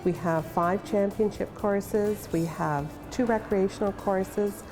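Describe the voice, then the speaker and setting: A woman speaking for her city in a promotional interview, listing its features in a proud, measured way. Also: medium pitch. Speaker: local city representative interviewee. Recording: music bed behind the voice.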